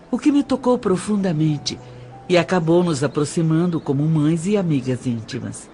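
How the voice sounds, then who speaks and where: A woman's voice: low voice, a little mellow, a little hiss or hum. A woman with a Brazilian accent playing a proper, compassionate mature mother reminiscing in an audio drama. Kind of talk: dramatic storytelling monologue.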